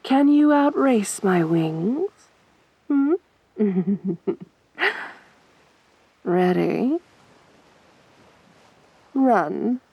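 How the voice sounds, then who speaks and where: Woman playing a slow, breathy, teasing predatory siren. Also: medium pitch, expressive, dry recording, dull audio, exaggerated voice. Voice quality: soft, breathy. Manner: taunting, drawn-out coaxing with mock sympathy. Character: sadistic, teasing female siren, a winged predator. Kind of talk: ASMR roleplay monologue.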